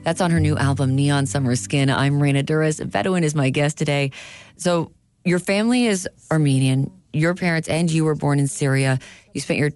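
A woman's voice: low-pitched, normal speed, darkish tone, no echo. A woman hosting a broadcast radio interview, asking questions and introducing a guest.

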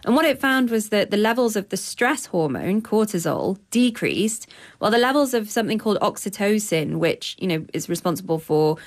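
A woman's voice: medium pitch, expressive, brisk. A woman journalist explaining her reporting in a conversational way, with frequent fillers and restarts. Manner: thoughtful, many fillers and restarts.

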